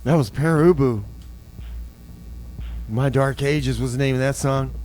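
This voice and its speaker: A man's voice: mid-range voice, a little slow, a little mellow. A man hosting a community radio show, chatting in a laid-back, amused, easygoing way as the DJ. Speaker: radio DJ and host. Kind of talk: casual radio banter.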